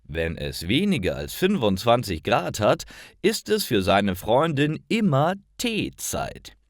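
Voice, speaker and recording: A man narrating a scripted story for language learners, enunciating carefully and deliberately. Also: medium pitch, huge pitch swings, no echo, studio quality.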